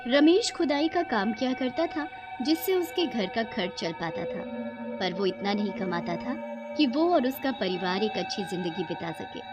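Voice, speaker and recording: A woman's voice: a little high, animated, moderate pace. A woman narrating a scripted cartoon story, with music playing behind her.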